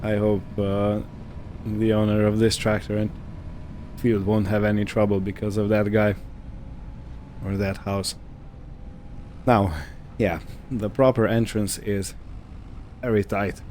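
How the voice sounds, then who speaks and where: A man giving casual roleplay game commentary, thinking aloud in short, unhurried phrases over a background hum. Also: lowish voice, flat delivery, a little mellow. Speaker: gaming video host.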